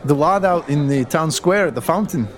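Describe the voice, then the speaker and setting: A man's voice: fairly high pitch, animated, normal speed, slight background noise, natural voice. A man playing an easygoing, slangy Scottish adventurer who is a regular at the tavern in a fantasy game. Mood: friendly, relaxed. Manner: slangy, matey. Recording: music under the voice.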